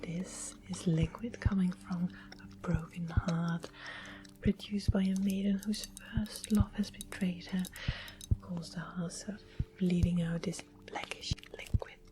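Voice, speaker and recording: Witch for fantasy ASMR woman acting: low breathy whisper, genteel and sweetly sinister, over clear background ambience. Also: a little slow, noisy background, close to an everyday voice. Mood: coolly sympathetic. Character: sweet-smiling innocent-seeming witch, gently sinister potion maker. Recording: steady ambient sound and music behind. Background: music and ambience.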